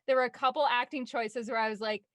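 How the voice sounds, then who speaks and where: A woman actor in casual panel chat. Her voice sits on the high side and sounds very dry, with no room around it. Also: fairly even intonation, fast, a bit bright, no echo.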